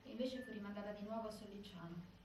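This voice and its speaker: A woman's voice: mid-range voice, fast talker, darkish tone, natural voice. A woman acting a prisoner's relative giving sober testimony on stage in a very echoey hall. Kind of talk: documentary theatre testimony. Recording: very echoey hall, distant, faint noise.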